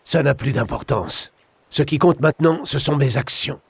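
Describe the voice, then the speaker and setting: An actor playing a stern old martial arts master in a game, with a husky, gravelly voice and a measured, authoritative manner. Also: male voice, mid-range voice, no echo. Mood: stern, composed.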